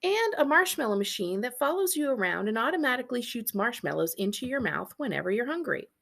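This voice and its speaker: A woman's voice: on the high side, big pitch swings, quick pace, creaky, fairly bright tone. A woman, a librarian reading a children's book aloud with casual asides.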